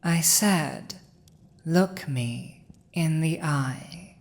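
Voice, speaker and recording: Slow, low-voiced commanding dragon ruler played by a woman. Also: full character voice. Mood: firm, intimate. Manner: slow, deliberate, dominant, teasing. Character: villainous dragon ruler, commanding, possessive, teasing. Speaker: voice actor in audio roleplay. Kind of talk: intimate scripted audio roleplay.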